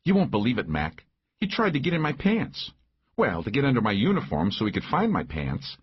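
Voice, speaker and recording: Man voicing a fiery high-pitched woman sheriff. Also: wildly swooping pitch, a little fast, slightly rough, lo-fi sound. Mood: exasperated. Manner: combative, blunt, cursing. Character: hot-tempered, foul-mouthed tough female sheriff. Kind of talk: audiobook character voice.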